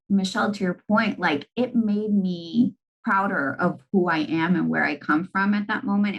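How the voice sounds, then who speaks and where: A middle-aged woman executive from Argentina talks through her experiences in a candid interview. She sounds earnest and reflective, often pausing on "you know". Her voice sits a little low. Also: low-quality recording. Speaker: business executive guest.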